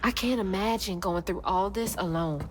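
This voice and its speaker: A woman's voice: mid-range voice, normal speed, noisy background, close to an everyday voice. A woman playing an earnest, caring young heroine in a video game, acted naturalistically. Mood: gentle, concerned. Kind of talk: naturalistic game drama acting. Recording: music behind the dialogue.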